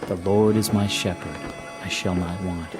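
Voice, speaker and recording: A man plays a steadfast priest in an old television drama. His voice is deep and his delivery solemn and prayerful, with music clearly underneath. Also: fairly even intonation, moderate pace, natural voice. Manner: solemn, reciting scripture.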